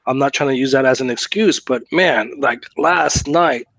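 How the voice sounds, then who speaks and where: A man co-hosting a podcast in casual chat, his voice raspy from a cough, teasing and joking. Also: medium pitch, normal speed, fairly bright tone, no echo. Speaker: podcast co-host.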